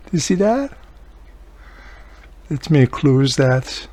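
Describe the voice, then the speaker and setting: A man's voice: on the high side, very animated, slow, darkish tone. Chatty man thinking aloud through a tutorial. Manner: chatty, thinking aloud, often addressing the viewer. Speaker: host of a radio repair tutorial channel. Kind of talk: casual hands-on tutorial narration.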